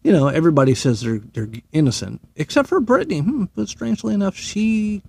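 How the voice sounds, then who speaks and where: Animated, sarcastic ranting man. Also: sits high, fairly quick, creaky, breathy edge. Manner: profane, sarcastic, heated. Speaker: military veteran commentator. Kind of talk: ranting opinion monologue.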